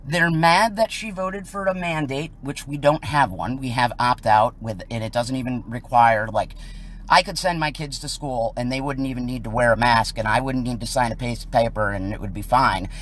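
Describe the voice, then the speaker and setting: A woman's voice: rumbling low, moderate pace, rough recording. Indignant American woman reporting live. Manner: indignant, emphatic. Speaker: citizen reporter livestreaming. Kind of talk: live on-scene commentary to camera. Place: outdoors on a residential street.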